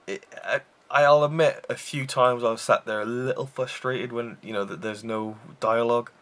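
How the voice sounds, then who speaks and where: A man's voice: medium pitch, fairly even intonation, moderate pace, a bit bright. A man hosting a casual film review show, rambling with enthusiasm and many fillers and restarts.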